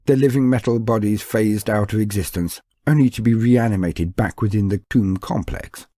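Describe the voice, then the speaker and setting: A man's voice: fairly low pitch, normal speed, warm tone, no echo, studio quality. A man narrating fictional war history as a scripted, documentary-style lore storyteller.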